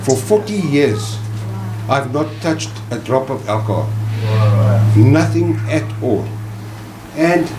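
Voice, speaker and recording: An older man gives a plain, halting, sincere personal testimony at a church service. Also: medium pitch, expressive, noisy background. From South Africa. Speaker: church visitor giving testimony.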